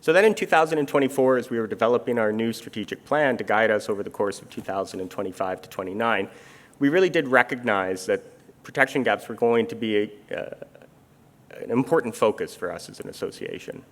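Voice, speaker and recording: A middle-aged man from Canada speaking in a measured, explanatory way, with many fillers, in an onstage armchair conversation. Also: on the low side. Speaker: international insurance supervision official. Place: conference stage. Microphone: handheld or stage microphone.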